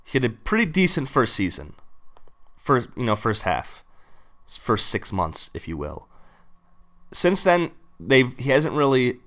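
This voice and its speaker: A man co-hosting a sports podcast in casual chat, weighing things up with measured questions. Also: medium pitch, very animated, fairly bright tone. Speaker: podcast co-host.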